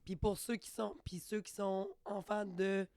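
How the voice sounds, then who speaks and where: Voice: medium pitch, level tone, unhurried, a little mellow, no echo. A podcast host from Quebec City with a Quebec French accent, asking short, curious questions in a casual chat. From Quebec City, Canada.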